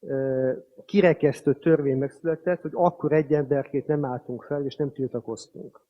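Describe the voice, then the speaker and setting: A man, a priest, giving a public speech in a measured, halting way with long pauses. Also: medium pitch, fairly even intonation. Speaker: priest and school director. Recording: dull lo-fi sound.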